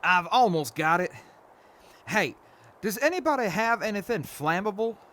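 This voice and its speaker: A man's voice: high voice, animated, moderate pace, pushed and bright, slight background noise. A man playing a helpful, cautious survivor in an audio drama. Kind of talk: post-apocalyptic audio drama acting.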